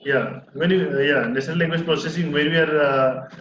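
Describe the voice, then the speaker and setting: A man's voice: fairly high pitch, fairly even intonation, brisk, a bit bright, slight background noise. A man talking shop as an instructor, hesitant and full of fillers. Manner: hesitant, many fillers and restarts. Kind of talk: casual technical conversation. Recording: roomy, dull lo-fi recording.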